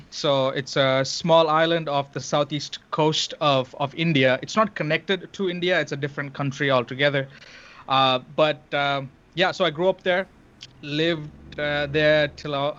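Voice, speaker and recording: Sri Lankan man, Tamil first language, slightly high voice, halting laughing chat, muffled stream audio. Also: steady tone, a bit bright, smooth. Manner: laughing, halting, many restarts. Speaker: guest. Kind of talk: casual livestream chat.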